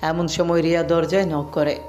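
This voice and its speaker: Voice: mid-range voice, flat delivery, normal speed, darkish tone. A narrator reading a scripted romantic story as the storyteller. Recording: background music under the voice.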